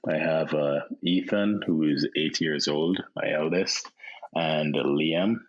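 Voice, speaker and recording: A Jamaican man in his thirties, a podcast guest, talking in a relaxed way with many fillers and repeated words over a remote call. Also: rumbling low, takes it slowly. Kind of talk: casual interview chat. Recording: dull lo-fi sound.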